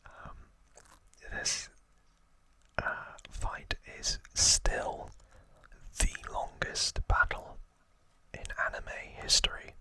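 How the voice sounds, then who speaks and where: Slow, whispering male ASMR host. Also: rough recording. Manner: hushed, rambling, informal asides. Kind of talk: whispered ASMR trivia narration.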